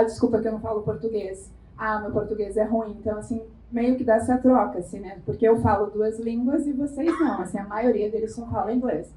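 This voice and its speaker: A woman's voice: mid-range voice, dark tone. A Brazilian woman from the audience sharing her work experience in a chatty, rambling way. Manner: chatty, rambling, self-correcting. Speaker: audience member. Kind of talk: audience comment. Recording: distant, echoey, faint noise.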